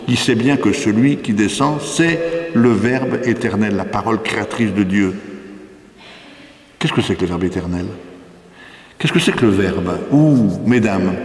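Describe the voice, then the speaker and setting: Older french guy, priest w france accent giving a teaching sermon, emphatic, super echoey church with long reverb. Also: lowish voice. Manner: didactic, rhetorical questions, emphatic repetition. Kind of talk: spoken sermon, teaching a congregation. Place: inside a church, before an altar. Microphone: microphone on a stand, close to the mouth.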